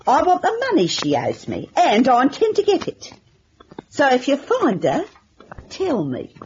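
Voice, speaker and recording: High-pitched, nosy landlady. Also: wildly swooping pitch, a little slow, a little mellow, exaggerated voice. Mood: demanding. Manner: blunt, nosy, demanding. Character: sharp, gossipy, money-minded Cockney landlady. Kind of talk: old-time radio drama acting. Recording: dull, noisy old radio recording.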